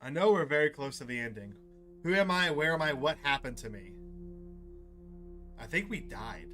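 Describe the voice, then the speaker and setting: Excitable man streaming over game music. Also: on the high side, moderate pace. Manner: excitable, repeats himself. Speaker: streamer playing a visual novel. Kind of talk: casual livestream chat and reading game text aloud.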